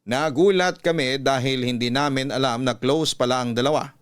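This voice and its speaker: A man with a Filipino accent reading a listener's letter as a scripted, measured and earnest radio story. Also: mid-range voice, smooth.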